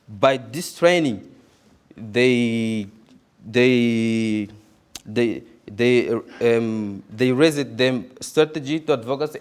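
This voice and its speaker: A man giving a conference presentation, halting and repeating words often. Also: medium pitch. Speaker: conference speaker.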